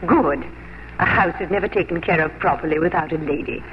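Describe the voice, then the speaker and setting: An actress playing a worldly, opinionated older lady confidante with a crisp, knowing manner, in 1950s radio drama. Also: sits low, fairly quick, close to an everyday voice. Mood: brisk, inquisitive. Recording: dull noisy old radio broadcast.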